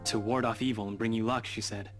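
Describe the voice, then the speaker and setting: A man's voice: lowish voice, fairly even intonation, quick pace, natural voice. Collected, dryly teasing young man, played by an actor for a visual novel, music underneath. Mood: hesitant, caring.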